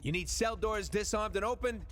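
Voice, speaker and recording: An actor playing a cocky, wisecracking space outlaw in a video game, sarcastic and flippant. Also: male voice, high voice, normal speed, fairly bright tone, a little hiss or hum. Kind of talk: video game cutscene acting.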